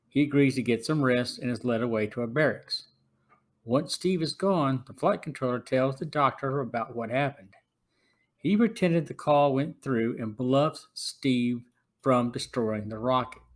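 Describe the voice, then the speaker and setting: A man hosting a podcast, reading a scripted episode review in a plain, even way. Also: mid-range voice. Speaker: podcast host.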